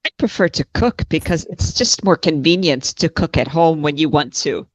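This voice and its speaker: A woman examiner leads the talk with short, plain follow-up questions. Her voice sits slightly low for a woman. The sound is dry and close. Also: lively intonation, normal speed, dry recording, low-quality recording. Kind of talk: mock speaking exam interview.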